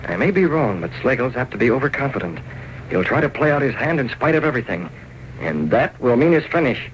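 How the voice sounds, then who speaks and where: A man's voice: mid-range voice, big pitch swings, fairly quick, highs cut off, lightly acted. Man acting composed radio vigilante, noisy old recording. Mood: confident. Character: composed, commanding masked vigilante, male. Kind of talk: 1930s-40s radio drama acting.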